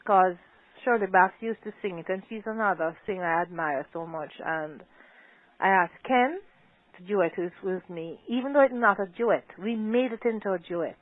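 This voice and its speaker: A woman's voice: mid-range voice, normal speed, no room sound. An older woman, a talkative singer who laughs often, chatting as a guest in a phone interview. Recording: tinny dry phone line.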